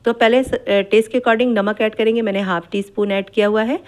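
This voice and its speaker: A middle-aged woman talks viewers through a home recipe in a patient voice-over. She explains every step in a steady, instructive way and goes back over amounts. Her pitch sits in the ordinary middle for a woman. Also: brisk. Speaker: cooking channel host. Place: home kitchen.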